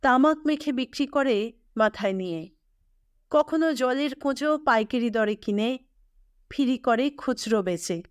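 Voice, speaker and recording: A woman narrating a literary audio story in a measured, expressive storytelling manner. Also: sits high, clean tone, no echo, studio quality.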